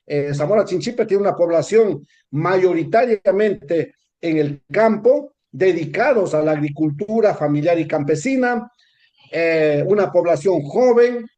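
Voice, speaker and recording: A man with an Ecuadorian accent, answering interview questions in a measured, explanatory way with frequent hesitations. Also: sits high, animated, warm tone. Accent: Ecuadorian Spanish. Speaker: interview guest.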